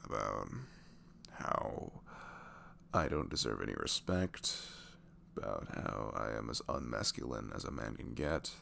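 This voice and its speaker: A man playing a sweet, weary young single dad in an intimate ASMR roleplay, confiding in a halting, soft-spoken way with trailing fillers and a deep gravelly voice. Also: animated, full character voice. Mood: bitter, subdued. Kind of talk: intimate ASMR roleplay, hesitant conversational delivery.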